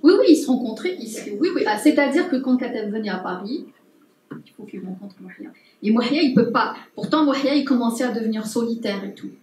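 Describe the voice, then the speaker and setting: A woman anthropologist speaking at a public talk, pausing often with fillers and restarts. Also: on the high side, expressive, darkish tone, a little hiss or hum. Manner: hesitant, many fillers and restarts. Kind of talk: unscripted talk to an audience. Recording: roomy distant mic with faint ambience.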